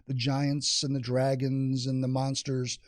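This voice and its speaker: Hesitant mid-pitched man co-presenting, dry sound. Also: completely flat delivery, a little slow, no room sound. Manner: hesitant, repeats words. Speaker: co-presenter of library program kits. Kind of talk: unscripted product presentation.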